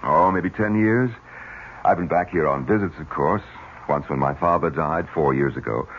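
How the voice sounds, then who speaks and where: An actor playing a guarded, urbane mill manager in a 1970s radio drama. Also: male voice, rumbling low, normal speed. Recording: dull, noisy vintage radio broadcast recording.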